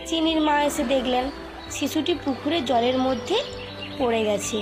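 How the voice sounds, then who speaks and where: A woman narrates a children's cartoon story in a steady, gentle way. Her voice sits fairly high, and clear background music runs underneath the narration. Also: normal speed. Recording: music playing behind.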